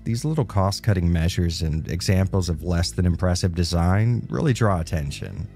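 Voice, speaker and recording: Game reviewer, man, very low mellow voice, stuffy nose from a cold, wry scripted voiceover. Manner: casual, wry and joking. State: nasal from a cold.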